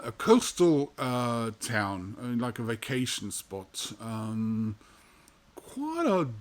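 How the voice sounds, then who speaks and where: A man from Norway with a Norwegian accent, telling a travel story in a rambling, halting way with many fillers. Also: mid-range voice. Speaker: vinyl collector vlogger. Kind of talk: casual storytelling monologue.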